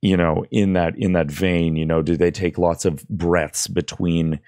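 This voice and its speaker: A man's voice: deep voice, fairly even intonation, measured pace, a little mellow, no echo. A man in his thirties presenting an audio tutorial in an informal, loosely explanatory way, close on a desk microphone. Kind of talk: casual screen-recorded tutorial. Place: indoors at a computer desk. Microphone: close boom-arm microphone with headphones.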